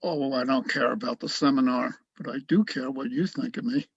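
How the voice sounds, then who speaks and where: A man's voice: mid-range voice, a little fast, gravelly, husky, no room sound, muffled recording. A man acting in a radio play as a cocky, awkward young philosophy student, emphatic and argumentative. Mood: defensive.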